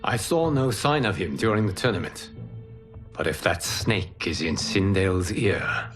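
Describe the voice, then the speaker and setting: Solemn, low-voiced immortal protector. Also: male voice, animated, soft background music. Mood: grave, concerned. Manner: formal, measured, grave. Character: calm, wise immortal lord protecting Earth, solemn. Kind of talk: cinematic video game drama acting.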